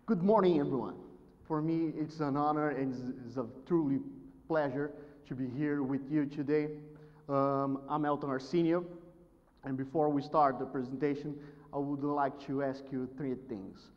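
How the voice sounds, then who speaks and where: A man's voice: fairly high pitch, steady tone, unhurried. Nervous Brazilian man presenting on stage. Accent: Brazilian Portuguese. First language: Portuguese. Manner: polite, apologetic. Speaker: presenter, market analyst. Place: conference stage in a hall. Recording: dull sound, long hall reverb.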